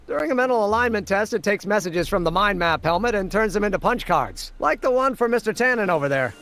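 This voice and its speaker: A man's voice: sits high, lively intonation, fast, a bit bright. A man voicing an eager, earnest young amateur scientist in a video game, explaining things precisely and with enthusiasm. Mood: proud, explaining. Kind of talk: video game character acting.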